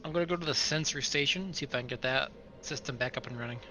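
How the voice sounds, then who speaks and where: A man's voice: medium pitch, racing, sharp tone, faint music behind. A man playing a tabletop roleplaying game, speaking politely and precisely as he reports his moves. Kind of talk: game chat about dice rolls.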